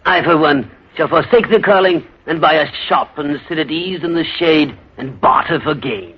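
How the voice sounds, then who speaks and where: A man's voice: fairly high pitch, moderate pace, a little hiss or hum, natural voice. A man playing a grumbling male beggar who complains about hard times and bows to his master, in 1930s radio drama acting. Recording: dull 1930s radio recording.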